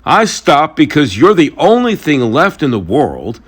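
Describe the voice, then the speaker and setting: A man playing a weary, brooding thunder god in a mythic audio drama, confiding and halting in his burdened role as protector. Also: a little high, wildly swooping pitch, slight background noise. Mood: defiant, earnest.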